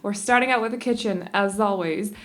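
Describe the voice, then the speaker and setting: A woman's voice: medium pitch, a little fast. A young Danish woman chatting casually and rambling a little as she talks over a game speed build, often restarting her sentences. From Denmark. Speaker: YouTube creator.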